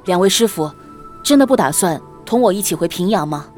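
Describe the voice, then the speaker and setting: A woman playing a courteous, resolute young gentleman painter in a Chinese period audio drama. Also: lowish voice, expressive, fairly quick, lightly acted. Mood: courteous, tender. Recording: music behind the voice.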